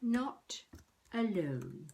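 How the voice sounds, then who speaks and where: Woman acting old lady doing a rambling video diary, dry humour, feisty, stops and starts as she thinks out loud. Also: mid-range voice, very animated, creaky, heavily acted. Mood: reflective, darkly comic. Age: older. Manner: wry, musing, halting, talking to herself. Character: wry elderly woman, wannabe novelist, rambling and feisty. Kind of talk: intimate comic monologue as video diary.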